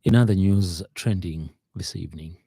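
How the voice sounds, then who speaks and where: Very dark, deep-voiced Zambian male newsreader. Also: normal speed, gravelly, slightly rough, no echo, clean studio sound. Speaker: radio news reader. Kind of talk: scripted news bulletin. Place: radio studio.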